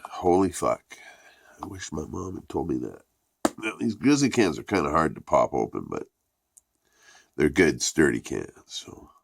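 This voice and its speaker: A man's voice: rumbling low, very animated, measured pace, gravelly, pauses often, slightly rough. A man with a stuffy, congested voice giving a profane, rambling, laid-back casual review. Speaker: product reviewer.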